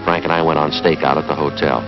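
Deadpan male detective narrator, clipped, music behind, dull 1950s police drama soundtrack. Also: extremely low pitch, fast talker. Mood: terse. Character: terse male detective narrating his case.

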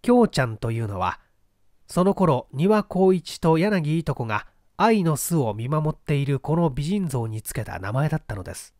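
A man narrating mystery fiction as a scripted literary reading, with measured, formal storytelling. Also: on the high side, very animated, a little mellow, dry recording, studio quality. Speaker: literary narrator, stage performer.

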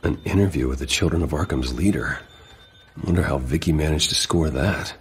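Very deep-voiced, determined businessman. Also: fairly quick, slight background noise. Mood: pensive resolve. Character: serious, determined wealthy businessman and secret vigilante. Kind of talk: restrained video game acting.

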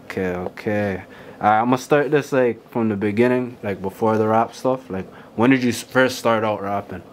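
A young man with a fairly low voice asks his questions in a relaxed, rambling way, stacking "like" between his words. Between answers he nods along with short "okay, okay" and "facts.". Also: normal speed, slight background noise. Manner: relaxed, many "like" fillers and "okay, okay" backchannels. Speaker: interviewer host. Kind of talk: casual interview questions. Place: small studio room, seated on a couch.

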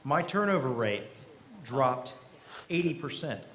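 A middle-aged man giving a conversational keynote speech at a podium microphone, earnest and emphatic, with deliberate pauses. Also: medium pitch, expressive, fairly bright tone. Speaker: company president, keynote speaker. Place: event hall stage. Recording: live podium speech with clear background noise.

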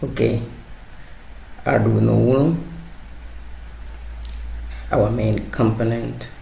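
A man narrating a hands-on electronics tutorial, halting and repeating words as he works. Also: mid-range voice, fairly even intonation, gravelly, mellow. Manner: halting, hesitant, repeats words while working. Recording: roomy sound with faint background noise.

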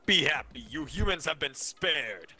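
A man playing a pompous, theatrical young dark overlord from a video game, grandiose and drawn-out. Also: on the high side, expressive, soft background music, full character voice. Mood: grandly self-important.

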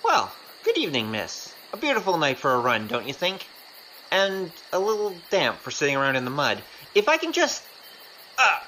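A woman plays a cheeky young male rogue in an audio drama, all glib excuses and wheedling. The voice is bright and swings widely in pitch, over faint background noise. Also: wildly swooping pitch, normal speed, full character voice, rough recording. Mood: flippant, charming. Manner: wheedling, flippant, hesitant when cornered.

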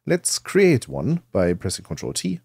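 A man presenting a software tutorial, explaining things step by step into a close microphone. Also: sits low, wildly swooping pitch, unhurried, gravelly, husky, no echo.

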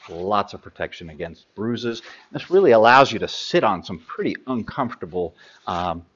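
A middle-aged man talks through sailing gear in a practical, unhurried way, filling pauses with ums and uhs. His voice sits at an ordinary pitch. The studio recording is slightly dull. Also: a bit bright. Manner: practical, hands-on, many ums and uhs while handling gear. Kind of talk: product explainer.

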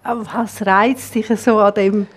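A woman in her thirties with a Swiss German accent, hosting a studio interview and asking lively questions. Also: mid-range voice, unhurried, husky. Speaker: host. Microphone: lapel.

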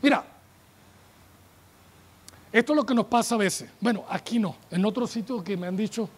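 A man in his forties preaches from the pulpit of a church tent, his voice sitting very high for a man. He holds a handheld microphone and speaks in an animated, joking way, turning to the congregation for responses. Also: moderate pace, husky. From United States. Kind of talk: sermon.

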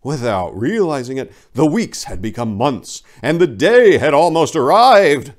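A man's voice: a little high, very animated, measured pace. A man in his forties reading a story in a theatrical, mock-grand, hammy manner. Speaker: dramatic reader, channel host. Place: small indoor room lined with bookshelves. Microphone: lapel mic.

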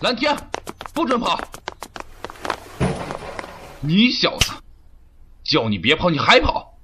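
Bullying male police officer played by a man, coarse and commanding, high voice with wild pitch swings, for radio drama. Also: wildly swooping pitch, slow pace, noisy background, heavily acted. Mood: angry, commanding. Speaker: voice actor. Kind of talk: audio drama acting.

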